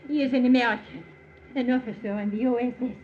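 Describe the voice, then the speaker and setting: A woman's voice: fairly high pitch, fairly even intonation, fast, natural voice. A woman playing a seductive, devoted French wartime spy with a French accent, earnest and dramatic. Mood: pleading, passionate. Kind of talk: 1940s-50s radio melodrama acting. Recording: muffled, noisy old radio recording.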